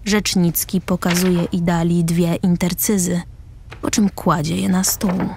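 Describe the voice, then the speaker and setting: A woman's voice: on the low side, flat delivery, unhurried, dark tone, slight background noise. A woman reading scripted present-tense narration for a radio play. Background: music.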